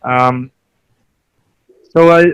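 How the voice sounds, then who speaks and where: Bright, clear-voiced man from Finland. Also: mid-range voice, steady tone, extremely slow, dry recording, dull audio. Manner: hesitant, many fillers and restarts. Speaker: panelist, technical advisor. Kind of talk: conference panel talk.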